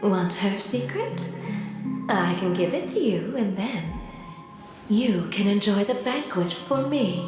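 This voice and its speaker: A woman's voice: mid-range voice, animated, takes it slowly. A woman playing an enigmatic, tempting figure in a video game, speaking in a teasing way. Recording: heavy echo under prominent music.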